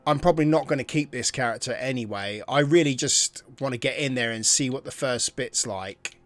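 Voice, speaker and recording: Male gamer host, mid-pitched, relaxed thinking-aloud commentary, faint music bed. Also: a little fast, no room sound. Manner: chatty, thinking aloud, drops to muttering. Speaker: gaming channel host. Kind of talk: casual gameplay commentary. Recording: faint game music behind.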